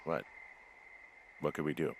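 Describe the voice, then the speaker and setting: A man's voice: very deep voice, measured pace, creaky, slight background noise, full character voice. A man with an American accent playing a sardonic, reluctant fire lookout in a video game, in a dry, understated everyday voice. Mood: warm, awkward. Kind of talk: naturalistic video game dialogue.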